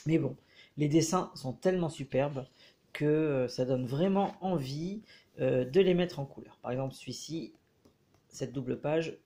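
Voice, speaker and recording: A man with a head cold giving a casual, hesitant review of a book as he leafs through it, pausing often. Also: fairly high pitch, dark tone. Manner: hesitant, frequent filler pauses.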